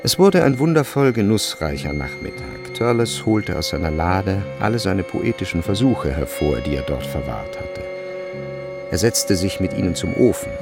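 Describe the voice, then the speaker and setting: A man narrates a literary radio play. His voice is low with a marked creak. The delivery is measured and expressive, with music playing behind it.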